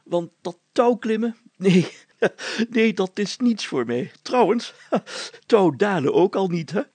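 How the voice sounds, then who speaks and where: A man's voice: high voice, lively intonation, slow pace, a little mellow, dry recording, pitched above the performer's own voice, heavily acted. A man voicing a jovial, self-assured village mayor in a storybook, with emphatic, confiding talk. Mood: pleased, chuckling.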